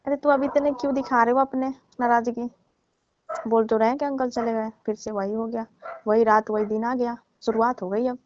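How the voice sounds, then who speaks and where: A woman's voice: on the high side, steady tone, normal speed, darkish tone, a little hiss or hum, lightly acted. A woman playing a married older lover in a phone call drama, coaxing and teasing as she placates her sulking younger man. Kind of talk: scripted prank-style phone call drama in colloquial Hindi. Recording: dull lo-fi phone call recording.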